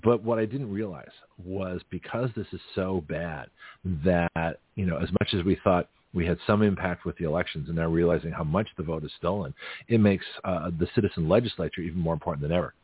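A man's voice: low voice, a little fast, husky, dry recording. A middle-aged man hosting a radio talk show, rambling and laughing often at his own jokes. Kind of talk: casual political call-in chat. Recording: phone-like narrow band.

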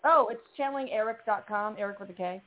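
A woman's voice: medium pitch, quick pace. A woman hosting a call-in radio show, upbeat and encouraging with callers. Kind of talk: casual call-in radio talk. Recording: phone-like radio line.

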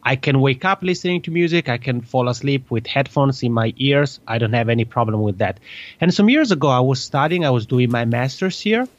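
A man with an Italian accent, Italian his native tongue, talks easily and cheerfully as a guest. His voice comes through a dry, dull, lo-fi recording with no room sound. The pitch sits in the middle range. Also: expressive, no room sound. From Italy. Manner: cheerful, chatty, quick with laughs. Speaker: podcast guest. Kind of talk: casual interview chat.

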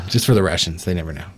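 A man with a fairly low voice hosts a loose, casual chat. He rambles along bluntly and peppers his talk with heavy swearing. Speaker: podcast host.

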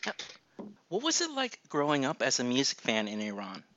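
Lively, bright-voiced male interviewer. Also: medium pitch, normal speed, lo-fi sound, a little hiss or hum. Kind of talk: interview questions.

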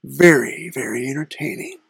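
An actor playing the devil as a dry, stately podcast guest, speaking in measured, deliberate pronouncements with a gravelly, husky voice. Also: mid-range voice, fairly bright tone, dry recording, exaggerated voice, low-quality recording. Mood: measured, aloof. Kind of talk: comedic podcast interview in character.

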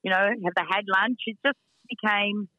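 Deep-voiced woman, frank and chatty. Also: steady tone, normal speed, sharp tone, no room sound, rough recording. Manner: frank, chatty, many fillers. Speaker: racing team owner. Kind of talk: radio interview guest.

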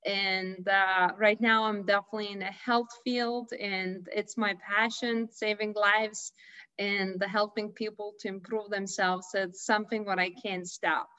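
A woman's voice: medium pitch, fairly even intonation, unhurried, bright, forceful tone. A woman from Lithuania with a Lithuanian accent, a guest on a remote interview who speaks in an upbeat, earnest way, often restarting her sentences. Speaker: guest, holistic health consultant. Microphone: video call. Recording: dull lo-fi video call audio.

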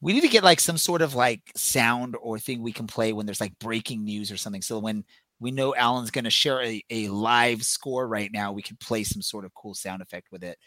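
A man's voice: on the low side, moderate pace, bright, forceful tone, no room sound. A man hosting a casual podcast, teasing his co-hosts in an upbeat way. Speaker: podcast host.

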